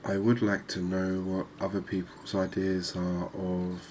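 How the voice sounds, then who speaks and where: Low, even-voiced man doing ASMR commentary. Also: a little hiss or hum. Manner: calm, unhurried, musing aloud. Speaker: gaming video host. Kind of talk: soft-spoken ASMR gameplay commentary.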